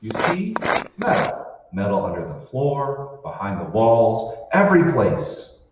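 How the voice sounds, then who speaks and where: Male actor as suspicious troubled husband, radio play, animated intonation, distant mic, dull reverberant sound. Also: on the low side, measured pace. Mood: agitated. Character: troubled, suspicious husband convinced his world is fake. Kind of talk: old-time radio drama acting. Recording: echoey hall, distant mic, dull, faint noise.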